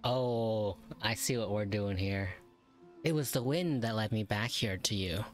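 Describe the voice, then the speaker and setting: A woman's voice: rumbling low, unhurried. A woman giving casual gameplay commentary and reading dialogue aloud, playful and wry. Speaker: game streamer. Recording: game music behind the voice.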